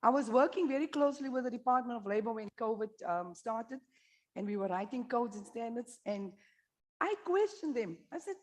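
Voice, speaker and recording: A woman's voice: fairly high pitch, animated, brisk, poor audio. A South African woman speaking at a conference, blunt and humorous, talking straight to the room. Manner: blunt, humorous, addresses the audience directly. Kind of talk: candid conference presentation.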